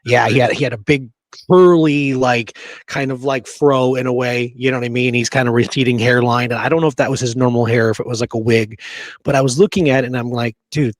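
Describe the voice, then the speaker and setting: Guy co-host chatting casually, excitable slangy talk, mid pitch, dry sound. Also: fairly quick, no room sound. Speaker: show co-host.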